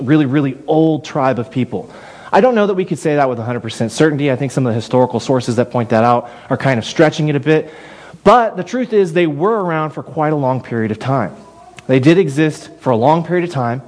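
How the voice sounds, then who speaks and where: Animated, higher-voiced male preacher. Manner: conversational, repeats words and restarts often. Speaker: pastor. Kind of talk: sermon.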